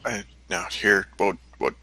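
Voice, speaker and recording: A man playing in a tabletop role-playing game, speaking in character in terse, halting phrases. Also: sits low, huge pitch swings, gravelly, sharp tone, no room sound.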